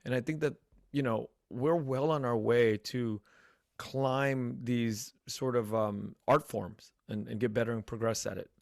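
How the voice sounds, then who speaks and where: An American man hosting a podcast, chatting in a relaxed, friendly way with lots of fillers and restarts. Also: medium pitch, measured pace, creaky, dry recording, studio quality. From United States. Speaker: podcast host. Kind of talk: casual interview chat.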